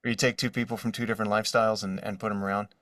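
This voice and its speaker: Man, forties, lower-pitched voice, casual animated storyteller, interview guest, very dry room. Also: rapid-fire, no room sound, far from the mic. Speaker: guest, voice actor. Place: his own voiceover studio.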